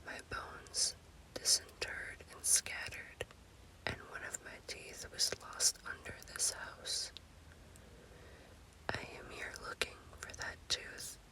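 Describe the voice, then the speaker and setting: A woman voicing a restless witch's spirit in a ghost story, in slow whispered declarations. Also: sharp tone, low-quality recording. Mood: mournful. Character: restless, boastful spirit of a witch haunting a family.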